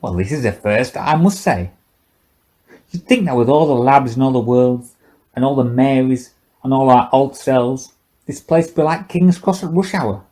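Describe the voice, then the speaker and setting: A man's voice: mid-range voice, expressive, moderate pace, mellow, voice pushed up, close to an everyday voice. A man playing a slurring, sardonic old drunk scientist. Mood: musing, rambling. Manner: rambling, sarcastic. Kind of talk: audiobook character dialogue.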